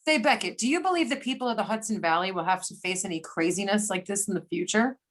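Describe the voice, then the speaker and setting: A woman reading a brisk, wry government agent in amateur readers theater, with everyday delivery. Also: medium pitch, lively intonation, lightly acted. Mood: teasing, brisk. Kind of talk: amateur readers theater over a remote call.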